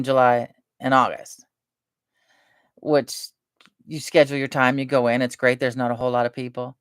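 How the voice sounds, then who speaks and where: A soft-spoken woman chatting casually and rambling between topics on a live stream. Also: a bass voice, no echo, studio quality.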